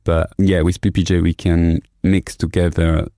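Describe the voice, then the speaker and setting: A male music producer with a very deep, gravelly voice. He speaks in a laid-back, easygoing way. The recording is dry and close. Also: level tone, normal speed, dark tone, dry recording. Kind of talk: casual interview.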